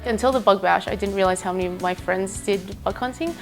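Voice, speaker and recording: A woman from Australia, chatting quickly and casually in an interview. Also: mid-range voice. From Sydney, Australia. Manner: quick, chatty, many "like" fillers. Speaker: security hacker. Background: faint music.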